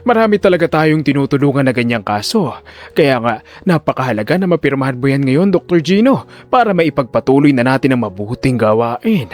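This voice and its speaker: A man's voice: mid-range voice, big pitch swings, fairly quick, a little mellow, close to an everyday voice. A man playing a smug older hospital director in an audio drama, avuncular and self-important, putting on benevolence. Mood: smooth, persuasive. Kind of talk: audio drama villain dialogue.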